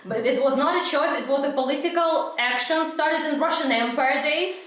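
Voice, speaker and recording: A woman's voice: sits high, a little fast, a bit bright. A woman from Ukraine on a discussion panel, explaining earnestly with examples. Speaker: panel guest. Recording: very echoey room.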